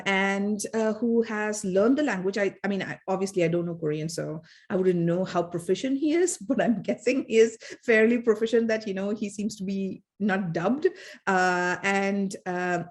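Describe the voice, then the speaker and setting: A woman from India, co-hosting a casual academic discussion, thoughtful and hesitant with many fillers. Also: mid-range voice. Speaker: podcast co-host, fan scholar.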